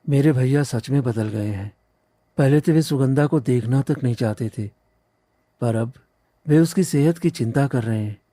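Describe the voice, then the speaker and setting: A man playing a devoted, trusting young village husband in a cartoon story, earnest and gentle. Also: medium pitch, normal speed, dry recording, natural voice. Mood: relieved. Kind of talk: cartoon moral story acting.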